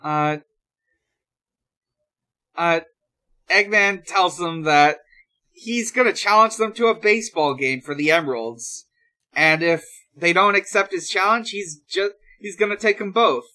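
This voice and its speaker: A podcast host casually recapping a show in a halting, hesitant way. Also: mid-range voice, sharp tone. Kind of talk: casual episode recap chat.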